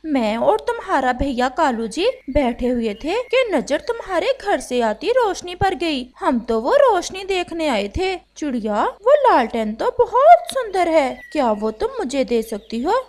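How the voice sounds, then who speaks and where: Woman voicing a scheming, high-pitched crow wife. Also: sing-song, a little slow, few pauses, darkish tone. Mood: wheedling. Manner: demanding, wheedling. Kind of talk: Hindi animated moral story dialogue.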